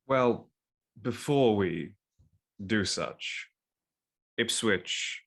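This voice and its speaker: A man plays his character in a tabletop game. He speaks low and unhurried. His phrasing is formal and deliberate. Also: lively intonation, vocal fry, a bit bright. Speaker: player.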